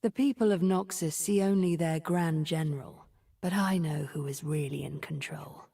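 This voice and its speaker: An actress playing a cold, scheming sorceress from a video game, speaking in a measured, imperious, disdainful way with a breathy, whispery voice. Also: female voice, sits low, warm tone, full character voice. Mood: sly. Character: cold, scheming sorceress mastermind, smooth and menacing. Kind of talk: video game character voice lines.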